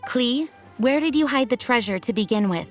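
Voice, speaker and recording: A woman playing a stern but caring young knight commander in a video game, speaking formally and with measured authority. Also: on the high side, lively intonation, faint music behind, lightly acted. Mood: stern, suspicious.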